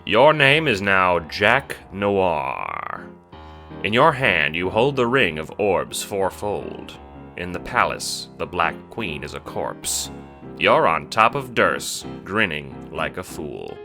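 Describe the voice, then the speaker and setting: A man with a very low voice narrates a scripted audio drama in the second person. Music plays clearly beneath him as he tells the story. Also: very animated, slow pace. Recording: music under the voice.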